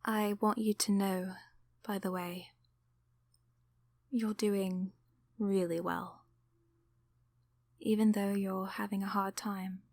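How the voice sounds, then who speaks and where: Woman as a slow, creaky, whispering gentle demon. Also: medium pitch, no echo. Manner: soft-spoken, often whispering, reassuring. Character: gentle, apologetic female sleep paralysis demon. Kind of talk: ASMR comfort roleplay. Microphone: close microphone.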